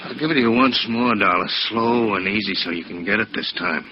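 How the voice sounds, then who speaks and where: An actor playing a menacing, muscle-bound American thug in 1950s radio noir style, curt and commanding. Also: male voice, fairly low pitch, brisk, natural voice. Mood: cold threat. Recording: muffled, hissy old radio recording.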